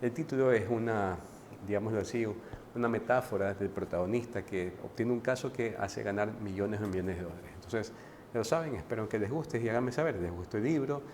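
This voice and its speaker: Low-voiced man chatting about a book. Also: brisk, darkish tone, a little hiss or hum. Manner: conversational, frequent restarts and tag questions. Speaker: book reviewer. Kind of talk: casual book commentary.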